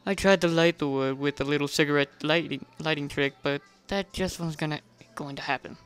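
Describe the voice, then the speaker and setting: An animated storyteller reads first-person fiction in a smooth, clear, mid-pitched voice. The narrator now and then trips and rereads a phrase. Music plays faintly behind. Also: brisk. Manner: animated, stumbles and rereads lines. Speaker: narrator reading a fan fiction.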